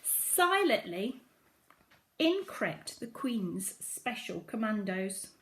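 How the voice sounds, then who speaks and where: A woman's voice: mid-range voice, very animated, drawn-out words, a bit bright, slight background noise, poor audio. A woman reading a children's story aloud, expressively, with dramatic emphasis on key phrases. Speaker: storybook reader at a primary school.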